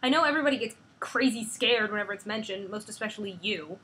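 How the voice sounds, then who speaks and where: A woman's voice: medium pitch, quick pace, sharp tone, husky. A woman voicing a headstrong teenage girl hero who pushes back with raised, indignant lines. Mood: defiant.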